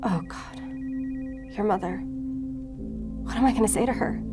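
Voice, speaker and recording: A woman's voice: mid-range voice, unhurried, music in the background, close to an everyday voice. A woman playing a determined, guilt-ridden federal investigator in an audio drama, earnest and resolute in manner. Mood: shaken. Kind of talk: audio fiction acting.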